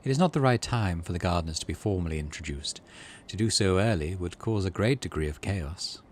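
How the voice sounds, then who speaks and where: A man voicing a polite, mirthful male alien from a game, speaking in formal, measured phrases. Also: low-pitched, expressive, darkish tone, a little hiss or hum, pitched below the performer's own voice. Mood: earnest, discreet.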